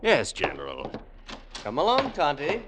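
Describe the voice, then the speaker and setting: An actor playing a fat, fawning, cowardly traitor in an old radio drama, obsequious and theatrical in manner. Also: male voice, fairly high pitch, wildly swooping pitch, measured pace, background noise. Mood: obedient. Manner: obsequious, theatrical, stammering under pressure. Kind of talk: 1940s-50s radio drama acting.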